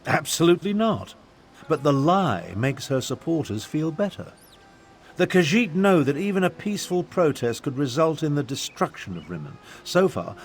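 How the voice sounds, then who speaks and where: An elderly battle mage and statesman for a fantasy game. He sounds pompous, self-assured and disdainful, in a medium-pitched voice. There is faint music underneath. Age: older. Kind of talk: fantasy video game acting.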